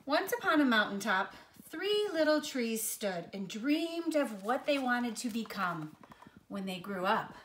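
High-voiced woman reading a children's story. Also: very animated, unhurried, a bit bright, a little hiss or hum, low-quality recording. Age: middle-aged. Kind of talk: expressive read-aloud story narration. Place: living room armchair, indoors.

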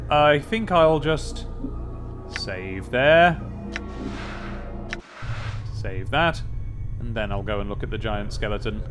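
A young British man chats through a video game in a lively, swinging voice. He is wry and rambling, slipping into dramatic reading of on-screen text, with game music playing behind him. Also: mid-range voice. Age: young adult. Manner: chatty, wry, rambling asides. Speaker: let's play host. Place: small indoor room at a desk. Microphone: desk microphone with pop filter, close.

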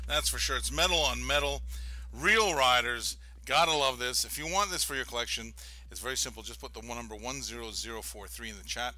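A man hosting a live diecast car auction, giving a hyped, pushy sales pitch. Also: brisk, sharp tone, rough recording. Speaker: auction host.

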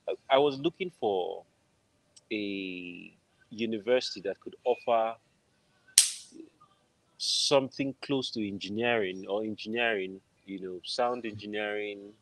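A man's voice: on the low side, dry recording, low-quality recording. A middle-aged man with a Nigerian accent, telling a personal story conversationally as an interview guest on a video call. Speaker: interview guest, film composer. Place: indoor room at home, video call.

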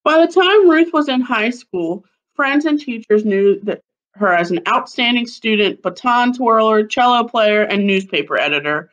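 A woman in her thirties reading a children's picture book aloud like a schoolteacher, with deliberate phrasing. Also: a little high, lively intonation, a bit bright, dull audio. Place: living room at home, seated on a couch. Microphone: webcam or laptop mic at about arm's length.

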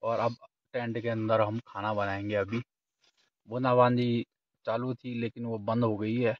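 A man's voice: medium pitch, little pitch movement, a little slow, dry recording, recorded from a distance. A man in his thirties, a camping vlogger, chatting casually to his viewers from inside a small tent. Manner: chatty, addresses viewers as friends. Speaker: camping vlogger, channel host. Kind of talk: casual vlog narration to viewers. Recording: slightly dull lo-fi camera sound.

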